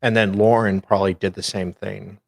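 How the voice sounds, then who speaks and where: A man's voice: on the low side, flat delivery, normal speed, vocal fry, no room sound, clean studio sound. A man co-hosting a casual commentary, adding offhand, speculative asides. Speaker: co-host.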